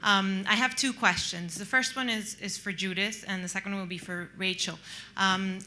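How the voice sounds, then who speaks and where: Bright-voiced woman. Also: medium pitch, steady tone, moderate pace, slight background noise. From Peru and Costa Rica. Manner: hesitant, many ums and restarts. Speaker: audience member asking a question. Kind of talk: question from the floor. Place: conference hall.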